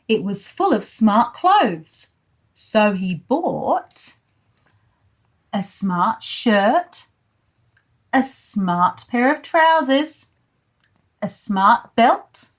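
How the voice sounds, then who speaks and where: A woman reads a picture book for pre-school children. Her voice is high and her pace very slow. She adds simple, child-directed comments as she goes. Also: big pitch swings. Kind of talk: children's picture-book read-aloud with asides.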